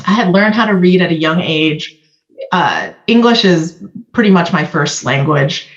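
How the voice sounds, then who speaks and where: A woman's voice: lowish voice, lively intonation, a little slow. Woman from Vietnam, guest storyteller, dull lo-fi sound with roomy echo. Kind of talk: candid conversational discussion.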